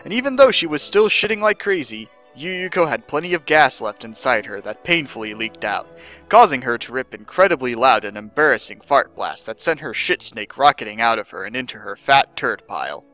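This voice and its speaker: Male narrator for parody story reading, theatrical, lively intonation, forceful bright tone. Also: medium pitch, brisk, soft background music, rough recording. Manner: theatrical, emphatic. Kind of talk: mock-dramatic scripted story reading.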